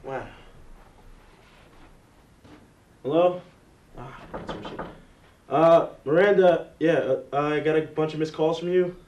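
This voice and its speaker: A man's voice: on the high side, normal speed, a little mellow, dull audio, natural voice. A young man playing a confused, hungover college student in a student stage skit, bewildered and muttering. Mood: groggy, confused. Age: young adult. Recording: echoey room from far off, hissy.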